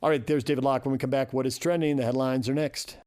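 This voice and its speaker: A man's voice: mid-range voice, steady tone, fast, darkish tone. A man hosting sports radio with casual banter, on a studio microphone.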